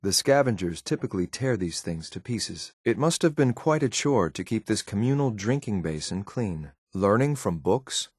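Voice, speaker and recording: A low, close and dry male voice for a video game synth enforcer. He speaks in clipped, controlled phrases, coolly watchful and faintly disdainful of what is around him. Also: animated, normal speed, hardly any pauses, a little mellow, slightly rough, no echo. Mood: cool, wary. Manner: clipped, matter-of-fact, controlled, faintly condescending. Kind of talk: video game companion voice lines.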